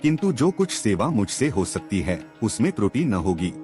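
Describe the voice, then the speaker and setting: A man's voice: fairly low pitch, sing-song, moderate pace, mellow. A man voicing a principled young police inspector, modest, deferential and grave, in a narrated story. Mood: humble, grave. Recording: music under the voice.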